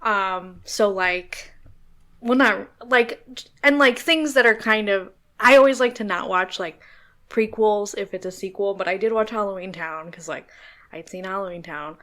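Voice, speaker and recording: A woman's voice: mid-range voice, unhurried, fairly bright tone. A woman hosting a podcast in casual chat, talking in a hesitant, meandering way. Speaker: podcast host.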